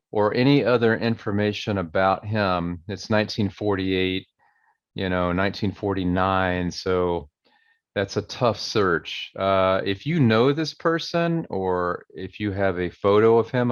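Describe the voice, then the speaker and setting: Relaxed man with a lowish voice. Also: dry recording. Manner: reads quoted passages slowly and emphatically; relaxed, conversational, with fillers. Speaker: channel host. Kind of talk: casual commentary reading old newspaper articles. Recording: dry, dull lo-fi audio.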